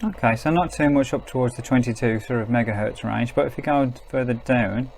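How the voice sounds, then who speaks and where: A man's voice: medium pitch, a little fast, a little mellow, a little hiss or hum. A man giving a casual hands-on gadget review, chatty and understated as he talks through what he is doing. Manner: chatty, understated, thinking aloud while working. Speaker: product reviewer.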